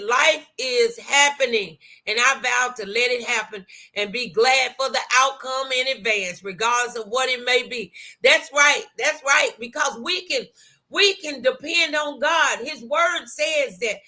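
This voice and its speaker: A woman's voice: high voice, bright, forceful tone. An American woman hosting an inspirational faith talk, speaking in a folksy, emphatic, exhorting way with repeated phrases and direct address to her viewers. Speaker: host of an inspirational talk show.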